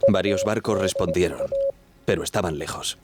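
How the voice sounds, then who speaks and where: An actor playing a dutiful, respectful ship's radio officer who recalls events in a measured way for a radio drama. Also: male voice, low voice, over loud music, close to an everyday voice. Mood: reflective. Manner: deferential, measured. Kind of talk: radio drama acting, first-person recollection.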